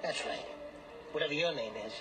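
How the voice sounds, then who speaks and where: An actor playing a desperate, greedy small-time gambler, brash and pushy, in a radio drama. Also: male voice, on the high side, fairly quick, music in the background, lightly acted. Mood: angry, bitter. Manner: brash, wheedling, slangy, bullying.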